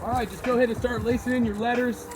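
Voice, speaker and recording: Hoarse, laid-back graffiti guy. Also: very high voice, flat delivery, fast, background noise. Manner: laid-back, rambling, slangy. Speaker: graffiti artist and channel host. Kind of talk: casual how-to chat while painting. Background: hip hop backing beats.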